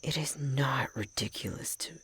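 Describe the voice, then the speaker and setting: A man's voice: medium pitch, normal speed, a bit bright, slightly rough. A man playing a prickly, grudgingly caring elf academic in an ASMR roleplay, with a curt, haughty, exasperated delivery that often drops into whispers. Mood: hushed, irritated.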